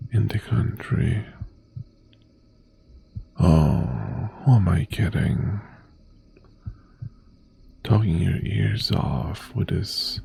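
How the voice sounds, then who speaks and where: A man playing an obsessive, possessive mafia boss ex-boyfriend in an intimate ASMR roleplay, half-whispering in a breathy, gravelly voice with teasing menace and long pauses. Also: low-pitched, dark tone, a little hiss or hum, heavily acted. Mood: brooding, smug.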